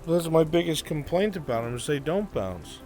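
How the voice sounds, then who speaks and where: A man's voice: fairly high pitch, sing-song, moderate pace, a little mellow. A man improvising in character in a VR roleplay game, with a commanding, bantering way of talking. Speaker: roleplay player leading a group. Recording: rain and music behind the voice. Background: rain and music.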